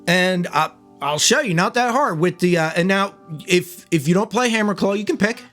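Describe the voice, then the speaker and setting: A hoarse man giving a relaxed, chatty banjo lesson, with banjo playing under his voice. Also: high voice, normal speed, over loud music. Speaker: banjo teacher. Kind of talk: casual video tutorial. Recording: loud banjo over the voice.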